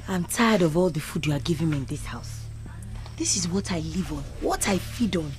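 Young village wife, Nigerian accent, plain-spoken, stubborn, defiant, music in background. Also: female voice, fairly low pitch, big pitch swings, normal speed. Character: stubborn hungry young wife raised in the bush. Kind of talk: film drama acting. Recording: music behind the voice.

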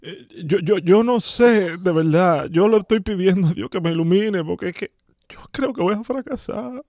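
A man with a Dominican accent on a prank phone call, talking in a plaintive, halting way and repeating his words. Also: high voice, darkish tone, no room sound, studio quality. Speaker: prank-call show host. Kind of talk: prank phone call, posing as a wronged husband. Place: indoor home studio. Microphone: close studio microphone.

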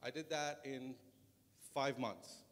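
A man's voice: mid-range voice, slow pace, a bit bright. A man in his thirties with a Lebanese accent gives an informal presentation as a conference speaker in a large lecture auditorium. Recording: reverberant lecture hall, background noise.

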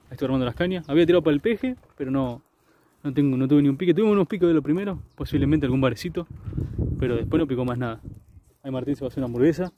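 A man's voice: on the high side, narrow, tinny audio. Upbeat young Argentine man fishing outdoors. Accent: Argentine Spanish. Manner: chatty, upbeat, tags sentences with "eh". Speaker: fishing vlogger. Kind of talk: casual outdoor fishing narration. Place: riverbank outdoors.

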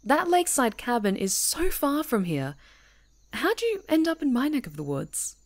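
A woman voicing a friendly young woman camping alone on a retreat, chatty and teasing and quick to laugh, in a scripted audio roleplay. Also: sits high, very animated, heavily acted. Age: young adult. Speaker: ASMR roleplay performer.

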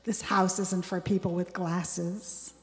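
A woman voicing a stubborn boy who guards his house, speaking slowly and firmly in a church sanctuary. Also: fairly low pitch, flat delivery. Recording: long hall reverb.

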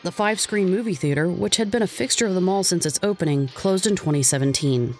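A man with an American accent reading scripted documentary narration in a measured, informative way. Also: high voice. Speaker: video narrator. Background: background music.

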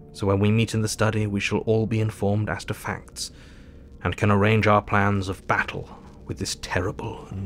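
An actor playing a sober, reflective Victorian doctor, narrating his diary in a measured, formal way for an audio drama. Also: on the low side, close to an everyday voice.

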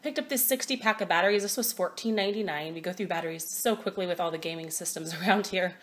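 Woman, 30s, hoarse cold voice, low-ish, rambling home haul chat on a phone camera. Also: fast talker, fairly bright tone. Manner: chatty, rambling, hesitant with many ums. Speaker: vlogger. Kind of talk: casual grocery haul show-and-tell. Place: at home, by a table.

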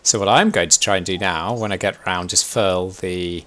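A man's voice: low-pitched, fairly even intonation, moderate pace, fairly bright tone. A young man leading a sailing club game session on a headset mic, chatty, laughing often and thinking aloud as he plays. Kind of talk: casual instructive chat while gaming.

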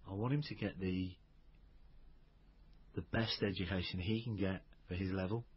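Very deep-voiced Northern Irish man. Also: fairly even intonation, moderate pace, breathy edge, lo-fi sound. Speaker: father in a recorded conversation. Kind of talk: intimate personal conversation.

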